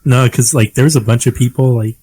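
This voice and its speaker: A young man with a mid-pitched, everyday voice co-hosting a podcast from home over a call. He rambles loosely, reaching for words and filling gaps with "like," and swears casually. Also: steady tone, brisk, dark tone, breathy edge. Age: young adult. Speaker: podcast co-host. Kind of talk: casual chat.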